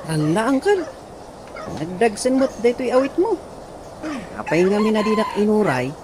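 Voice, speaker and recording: Man acting a dutiful young nephew, highish voice. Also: big pitch swings, normal speed. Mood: concerned, helpful. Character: dutiful young village nephew, concerned and respectful. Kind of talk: Ilocano radio comedy drama acting. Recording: noisy radio drama, birds cooing behind.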